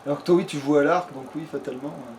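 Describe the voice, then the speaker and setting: A man's voice: fairly high pitch, racing, a little mellow. A man commenting casually on his game, with crude joking slang. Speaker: let's player. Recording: noisy home recording.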